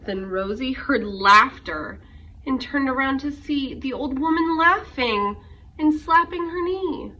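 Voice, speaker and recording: A woman reading a rhyming picture book aloud to young children in an expressive storytelling way. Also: high-pitched, takes it slowly. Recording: muffled, noisy, distant microphone.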